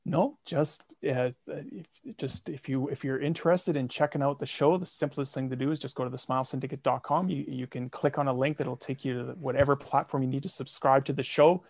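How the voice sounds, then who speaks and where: A man's voice: medium pitch, steady tone, brisk, a little mellow. A man on a podcast as a guest, joking breezily as he plugs his show. His voice sits mid-range and sounds close and dry, with no room echo. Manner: jokey, breezy promotional delivery. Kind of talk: casual interview chat.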